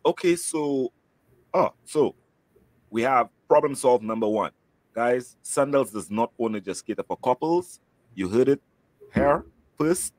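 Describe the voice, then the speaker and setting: A man's voice: mid-range voice, no room sound, poor audio. A man with a Saint Lucian accent hosting a casual promotional interview, chatty and fond of repeating words for emphasis. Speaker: host, travel agent.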